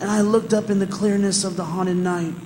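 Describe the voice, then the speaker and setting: Man acting a high-voiced, haunted condemned man, reverberant hall. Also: fairly even intonation, brisk, lightly acted. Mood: awed, solemn. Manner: declamatory, impassioned. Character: condemned man, haunted living soul among the dead. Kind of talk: theatrical radio drama with lyrical narration. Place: performing arts center stage. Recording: live hall, long reverb, clear noise.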